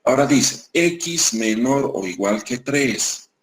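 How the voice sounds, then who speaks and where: A man teaching a university math class, who repeats each point, keeps checking in with the students and slows down on the key definitions. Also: mid-range voice, animated. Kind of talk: classroom lecture.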